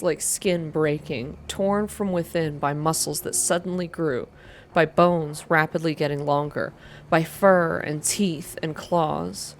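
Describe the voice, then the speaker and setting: A woman reading first-person story narration aloud in a soft, hushed, measured ASMR style. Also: low-pitched, a little hiss or hum. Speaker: narrator reading a visual novel game aloud.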